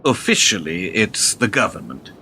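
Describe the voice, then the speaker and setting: An actor in a radio drama playing an ageing, smooth-talking political fixer, cynical, measured and self-assured. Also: male voice, deep voice, very animated, bright, forceful tone, slight background noise, full character voice. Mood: cool, matter-of-fact.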